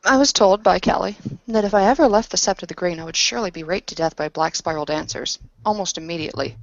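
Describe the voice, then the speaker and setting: A woman's voice: lowish voice, fast talker, vocal fry, no echo. A woman playing a tabletop roleplaying game, speaking in character and narrating her character's actions. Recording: dull lo-fi audio.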